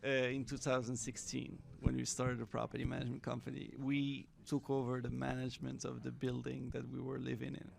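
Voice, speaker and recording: A man from Morocco, a guest entrepreneur talking casually in an interview, halting and repeating words, laughing often. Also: medium pitch, level tone, vocal fry. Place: Miami.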